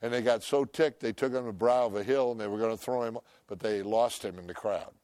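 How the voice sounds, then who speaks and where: An older man preaching a sermon, loud and emphatic, swinging between shouts and hushed asides. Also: fairly low pitch, quick pace, dry recording. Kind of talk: impassioned sermon.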